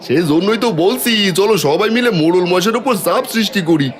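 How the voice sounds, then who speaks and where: A man's voice: sits high, lively intonation, quick pace, some chatter behind. A man voicing a sly, greedy village conniver in a cartoon, insinuating and exclamatory. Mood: rabble-rousing. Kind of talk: Bengali cartoon voice acting.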